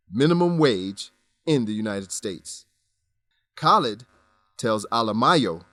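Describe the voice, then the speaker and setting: A man narrates a scripted English lesson in a deliberate, instructional way. His voice is mid-pitched, and the sound is very dry, with no room echo. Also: a bit bright.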